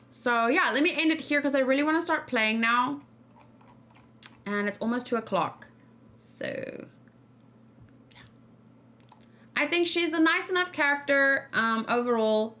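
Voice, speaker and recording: Female livestream host, fairly high voice, casual and informal, musing through game discussion off the cuff. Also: fairly bright tone. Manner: informal, musing, thinking aloud. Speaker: streamer and reaction host.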